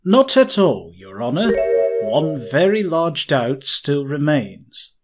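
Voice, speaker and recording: A man's voice: a little high, sing-song, takes it slowly, over loud music. A man voicing a stern, proud young prosecutor in a game dub, formal and pointed in his speech. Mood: stern, suspicious. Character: stern, haughty young male courtroom prosecutor, suspicious and proud. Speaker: fan dub voice actor. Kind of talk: dramatic video game dub acting.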